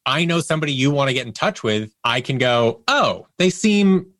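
A man's voice: mid-range voice, big pitch swings, normal speed, fairly bright tone, dry recording. A man speaking as a guest in a casual interview, candid and emphatic, often acting out little exchanges as quotes. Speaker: interview guest, audio producer.

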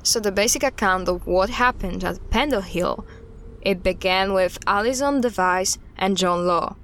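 Woman acting as earnest female history expert, guarded, measured storytelling, audio drama interview, medium pitch, light background noise. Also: a bit bright, lightly acted. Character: knowledgeable woman recounting witch-trial history, earnest and guarded.

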